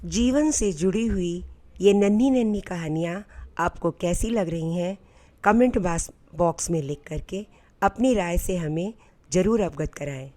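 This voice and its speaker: A woman storyteller narrating a scripted inspirational tale in a measured, earnest way. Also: on the low side, warm tone.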